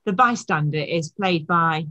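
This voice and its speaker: Bright-voiced middle-aged woman minister. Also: sits low, measured pace, dull audio. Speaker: church minister introducing a cast. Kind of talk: formal welcome and introduction. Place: home study.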